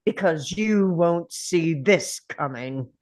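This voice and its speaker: A woman playing an ancient, defiant, sardonic male time traveller in a dramatic script table read, speaking theatrically with deliberate pauses. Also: fairly low pitch, expressive, a little mellow.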